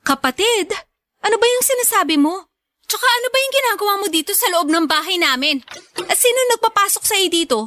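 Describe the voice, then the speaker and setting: A woman's voice: very high voice, animated, a little fast, bright, forceful tone, slight background noise, full character voice. A woman playing a resentful, defiant adult daughter in a Filipino radio drama, speaking in an indignant, confrontational manner. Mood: suspicious, indignant.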